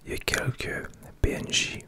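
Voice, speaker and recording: Quebec guy whispering asmr game commentary, all breath no voice, bright crispy hiss, gentle and unhurried. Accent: Québécois French. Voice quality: soft unvoiced whisper. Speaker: video game streamer making ASMR gameplay videos.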